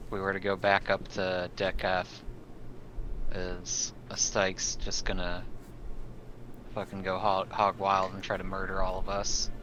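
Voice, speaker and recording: A man's voice: low voice, completely flat delivery, unhurried, background noise. A man playing a tabletop roleplaying game, talking casually and hesitantly as he thinks aloud.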